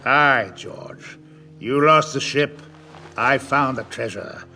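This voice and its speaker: An actor playing a wily, domineering middle-aged pirate captain with a gravelly voice, theatrical and full of rustic sailor talk, in an audio drama. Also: male voice, medium pitch, takes it slowly, pushed and bright, faint music behind, full character voice. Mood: commanding.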